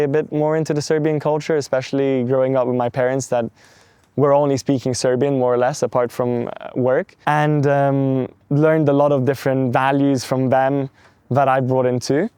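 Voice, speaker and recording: A young man answers interview questions in a measured, thoughtful way, leaning on little phrases as he thinks. His voice is smooth and resonant at an ordinary pitch. The sound is dry and close. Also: warm tone, no echo. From London, England. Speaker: interview guest.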